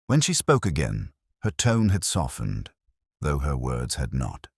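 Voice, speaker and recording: A man reading scripted first-person mystery narration in a measured, literary, brooding manner. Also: very deep voice, huge pitch swings, slightly rough, no room sound, studio quality.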